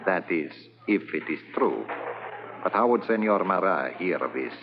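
An actor playing a formal, wary foreign smuggler in a 1950s radio drama. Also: male voice, low voice, lively intonation, moderate pace. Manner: formal, courteous, foreign-accented. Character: smooth foreign smuggler man, suave and wary. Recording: dull old radio transcription, hiss.

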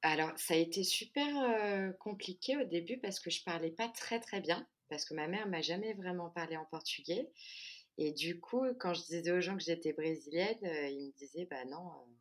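A woman's voice: lowish voice, normal speed. Hesitant woman, casual chat. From France. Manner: hesitant, many fillers and restarts. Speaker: podcast guest.

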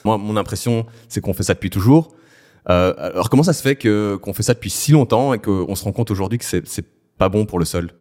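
Low-voiced male podcast host. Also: brisk, a little mellow. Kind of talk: interview hosting.

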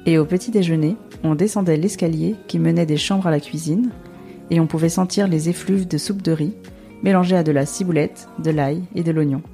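A woman's voice: low voice, steady tone, fairly quick, mellow, soft background music. A woman from France hosting a podcast in a scripted, narrated style. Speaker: podcast host.

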